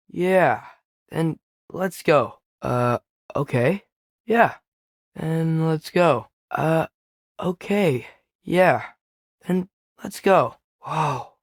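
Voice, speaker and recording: A man's voice: on the high side, no room sound, clean studio sound. A young male actor playing an earnest, sensitive American teenage boy adventurer in a video game, speaking with a questioning, slightly hesitant manner. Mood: subdued, reflective. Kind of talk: video game character dialogue.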